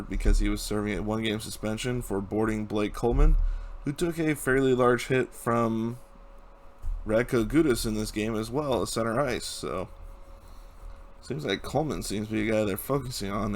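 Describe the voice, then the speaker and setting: A young man who hosts a hockey channel, casually recapping playoff games and reading out stats, his voice hoarse from a cold. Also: mid-range voice, slight background noise. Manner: casual, reads stats, chuckles at times.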